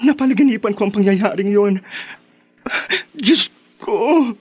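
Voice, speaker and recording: An actress playing a frightened, guilt-torn young woman in a Filipino radio drama, with a halting, emotional delivery. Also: medium pitch, darkish tone, slightly rough. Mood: anguished, fearful.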